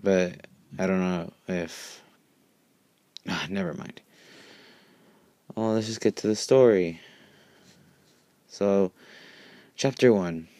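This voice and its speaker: A man's voice: low voice, slow pace, pauses often, warm tone, no room sound. A man narrating a fan story in an informal amateur reading, sometimes stumbling over words.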